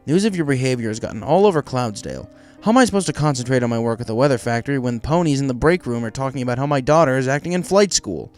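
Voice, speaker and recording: A man's voice: mid-range voice, a little mellow, natural voice. A man voicing a stern pegasus father who firmly reprimands his teenager in a story reading.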